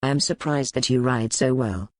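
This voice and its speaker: A woman voicing a stern, formal medieval duke and warrior in a historical romance audiobook, with terse, measured courtly phrasing. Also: extremely low pitch, dry recording, pitched below the performer's own voice. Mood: dryly amused.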